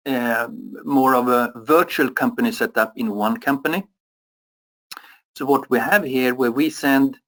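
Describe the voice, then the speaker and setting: European man, mid-pitched, halting webinar answers with lots of fillers. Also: a bit bright, poor audio. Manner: halting, many fillers and restarts. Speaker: product expert.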